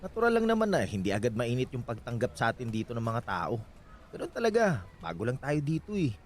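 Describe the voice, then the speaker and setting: An actor playing a confident, protective newlywed husband in a radio drama, soothing yet insistent. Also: male voice, mid-range voice, wildly swooping pitch, fast, slight background noise. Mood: dismissive reassurance.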